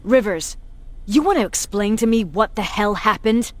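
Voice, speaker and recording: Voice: medium pitch, expressive, moderate pace, close to an everyday voice. An actor playing a stern, shrewd military commander giving orders in an action video game. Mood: stern, questioning. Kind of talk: video game dramatic dialogue.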